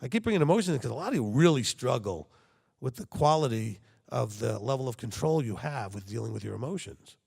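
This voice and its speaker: A man's voice: medium pitch, lively intonation, fairly quick, creaky, darkish tone, husky, long reverb tail. A man preaching a sermon to his congregation, talking conversationally and checking in with frequent rhetorical questions. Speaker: congregation leader.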